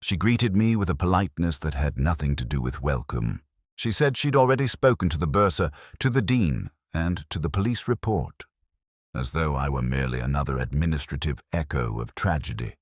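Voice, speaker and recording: A man with an exceptionally deep voice narrates a literary mystery in the first person. His delivery is measured and brooding, yet the intonation moves widely. The sound is dry and close, with no room around it. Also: expressive, no echo.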